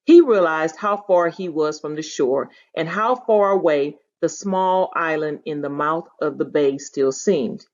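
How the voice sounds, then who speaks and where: Woman, teacher, lowish pitch, expressive children's read-aloud, animated storytelling, dull lo-fi recording. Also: a little slow, few pauses. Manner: animated storytelling, putting feeling into dialogue. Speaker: teacher reading aloud to students. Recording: dull lo-fi sound.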